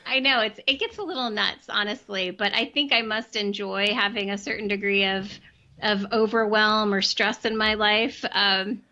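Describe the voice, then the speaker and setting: A woman talks as a podcast guest in a loose, rambling way, full of ums and restarts. Her voice is bright and forward, slightly worn as if she is losing it. The recording sounds dull and low-fidelity. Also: steady tone, normal speed. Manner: chatty, many ums and restarts. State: losing her voice, clearing throat. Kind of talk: casual interview chat.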